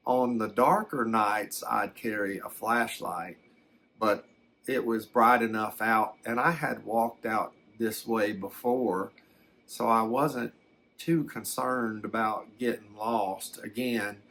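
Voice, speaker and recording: A man voicing a plainspoken, folksy fellow recounting a strange encounter in halting, rambling, unhurried ASMR storytelling. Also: lowish voice, fairly even intonation, fairly bright tone. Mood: musing, matter-of-fact. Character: folksy man recounting his alien abduction.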